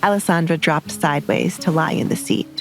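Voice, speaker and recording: A woman's voice: sits low, brisk, faint music behind. A woman narrator reading scripted romance fiction as a storyteller.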